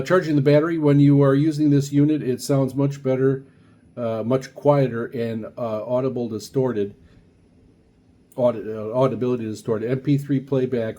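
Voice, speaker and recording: A man hosting a casual product unboxing, reading aloud and commenting in a halting way with frequent uhs and pauses. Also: on the low side, warm tone, a little hiss or hum. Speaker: radio hobbyist reviewer, video host.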